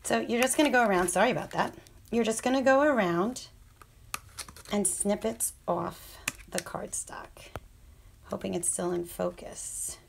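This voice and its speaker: A woman's voice: medium pitch, unhurried, gravelly, pauses often. A woman with a scratchy voice demonstrating paper crafts, chatty and apologetic, often murmuring half to herself as she works. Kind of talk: casual hands-on craft tutorial.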